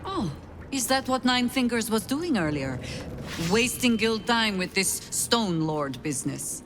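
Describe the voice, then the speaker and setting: A woman's voice: fairly high pitch, very animated, measured pace. An actress voicing a game's player character, a woman speaking in a wry, inquisitive way. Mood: probing. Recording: game audio with reverb and ambient noise.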